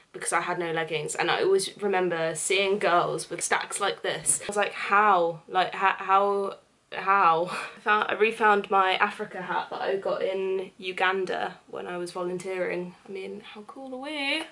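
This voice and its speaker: A woman's voice: on the low side, fairly bright tone. A young woman in her twenties chatting casually and self-deprecatingly to the camera in her small bedroom, laughing at herself. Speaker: vlogger. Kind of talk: casual vlog chat. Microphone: camera mic at arm's length.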